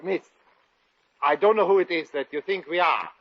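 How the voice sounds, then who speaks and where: An actor playing an earnest, devoted German immigrant bridegroom with a German accent and formal, halting phrasing in 1950s radio comedy. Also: male voice, on the high side, dry recording, lightly acted. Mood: agitated, indignant. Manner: formal, inverted foreign phrasing, halting when flustered. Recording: dull, lo-fi old radio recording.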